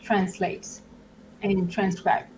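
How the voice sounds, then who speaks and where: A woman whose first language is Turkish, speaking as a guest in a casual tech demo. She talks haltingly, with plenty of fillers and little laughs. Faint steady noise sits under the voice. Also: medium pitch, poor audio. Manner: hesitant, many fillers, laughs often. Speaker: guest developer advocate.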